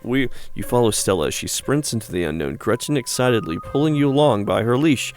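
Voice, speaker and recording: A man's voice: mid-range voice, expressive, fairly quick, a little mellow, faint music behind. A man hosting a gaming video, reading a horror story aloud expressively and breaking into casual banter. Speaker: gaming channel host and narrator.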